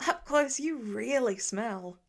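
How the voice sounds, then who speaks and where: A woman's voice: fairly high pitch, lively intonation, slow, exaggerated voice. Woman playing a sarcastic, teasing teenage son. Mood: disgusted, playful. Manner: sarcastic, snickering, sniffy. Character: wry, teasing teenage son, dryly dismissive of dad.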